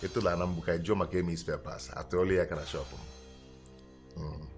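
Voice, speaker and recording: An actor with a Nigerian Igbo accent playing an eager young trader who rambles, mixing Igbo and English. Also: male voice, deep voice, fairly even intonation, fairly quick. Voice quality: chatty, rambling. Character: young male spare-parts trader suitor, eager. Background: music.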